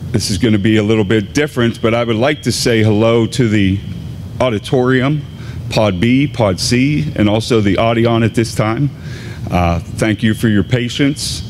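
A man in his fifties, an assistant high school principal, giving a formal, deliberate graduation address at a podium microphone in a large gymnasium. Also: darkish tone, slight background noise. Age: 50s. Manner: measured, deliberate announcing with pauses.

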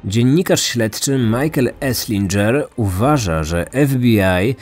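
A man's voice: medium pitch, expressive, slow, darkish tone. A man hosting a podcast with scripted true-crime narration. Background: faint music.

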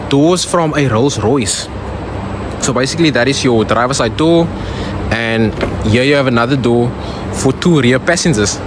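A fairly high-voiced young South African man, Afrikaans by birth, chatting his way through a used-car walkaround. He keeps calling his viewers "guys" in an easygoing, talkative way. He records on a phone outdoors, with clear street and lot noise behind him. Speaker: car vlogger. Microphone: phone camera.

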